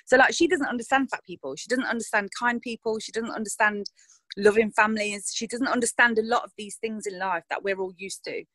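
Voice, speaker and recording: A woman's voice: a little high, brisk, fairly bright tone, no echo. An actress talking frankly in a casual interview, thinking aloud and restarting her sentences.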